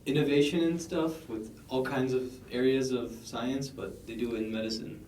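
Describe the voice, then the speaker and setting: A man's voice: mid-range voice, fairly even intonation, normal speed, darkish tone. A man co-hosting a podcast, asking loose, friendly questions in a casual chat. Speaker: podcast co-host. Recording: echoey room, far from mic.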